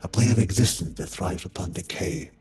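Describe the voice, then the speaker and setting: A man's voice: deep voice, lively intonation, fairly quick. A man voicing a solemn, devout robot warrior in a scripted audio drama, with grave, portentous delivery. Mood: ominous. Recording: echoey voice over music.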